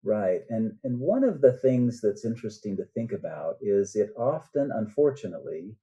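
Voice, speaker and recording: Guy author on a podcast, really mellow dark voice, kinda low, earnest and reflective. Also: very animated, normal speed. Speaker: guest author. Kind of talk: casual interview talk.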